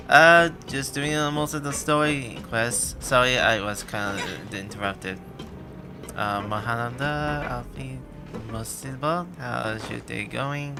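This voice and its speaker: A man streaming a game live, giving casual, halting commentary and muttering partly to himself. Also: mid-range voice. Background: game music.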